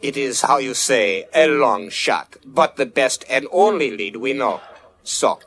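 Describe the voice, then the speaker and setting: Croaky, courtly android. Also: male voice, medium pitch, lively intonation, a bit bright. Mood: persuasive, businesslike. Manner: formal, unhurried, courteous, flowery. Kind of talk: science fiction radio play acting. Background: music bed.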